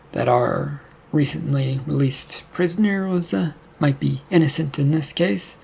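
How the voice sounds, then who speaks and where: A man's voice: on the high side, vocal fry, darkish tone, breathy edge, exaggerated voice. A man playing a firm demon hunter. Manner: firm, matter-of-fact.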